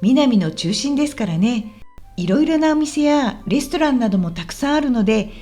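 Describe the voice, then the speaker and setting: A woman acts out a friendly, helpful local guide in a radio drama for language learners. Her voice is high and very animated, with polite, clearly spoken explanations. Faint music plays underneath. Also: moderate pace. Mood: explaining. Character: friendly, helpful woman guiding a visitor around Osaka.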